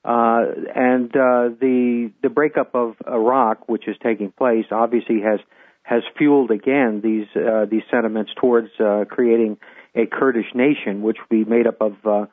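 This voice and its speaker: An older man, a guest on a radio interview, speaking in a measured way with many hesitations and restarts. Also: medium pitch, level tone, no echo. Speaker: guest, former intelligence officer. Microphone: phone call. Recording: tinny phone line.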